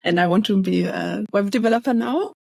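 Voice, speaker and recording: A woman's voice: medium pitch, a little fast, creaky, no room sound. Young woman from Hamburg, casual project presentation, chatty and easygoing, dry close mic. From Hamburg, Germany. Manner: relaxed, chatty, light laughs. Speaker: bootcamp graduate presenting project.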